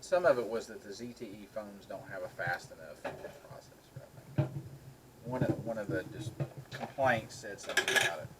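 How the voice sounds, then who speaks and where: Man, middle-aged, somewhat deep voice, casual chat, noticeably noisy recording. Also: lively intonation, moderate pace. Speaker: video co-host.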